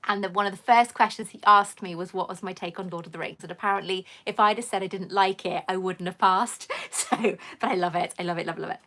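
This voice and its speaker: A woman's voice: on the low side, fairly even intonation, fast, few pauses, bright, forceful tone. A middle-aged woman with a mixed southern English and Welsh accent, chatting on a live Q&A stream and reading viewer comments aloud. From England, raised in Wales. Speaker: host.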